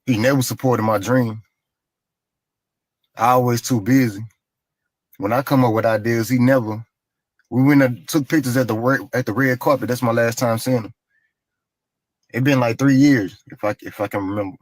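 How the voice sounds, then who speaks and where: A man's voice: medium pitch, steady tone, normal speed, pauses often, no room sound, low-quality recording. A man in a played clip telling a plain, aggrieved personal story and repeating his points.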